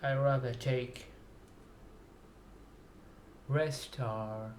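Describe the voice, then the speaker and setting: A man with a sore throat talking in a rambling, halting monologue to his viewers, often repeating words as he introduces his own writing. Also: medium pitch, steady tone, darkish tone, slight background noise. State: sore throat, slight fever. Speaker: writer introducing and reading own story. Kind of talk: slow rambling video monologue.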